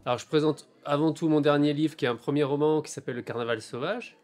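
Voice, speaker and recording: A man in his forties from France, an author talking about his books in a bookshop interview, thoughtful and hesitant, with many pauses and fillers. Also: on the high side, fairly even intonation, darkish tone, soft background music. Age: 40s.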